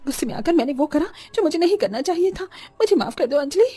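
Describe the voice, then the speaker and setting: A scheming, scolding village mother from a horror cartoon, played by a woman. She talks quickly and presses every point insistently. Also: high-pitched, lively intonation, dark tone. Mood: pleading. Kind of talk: animated horror story dialogue.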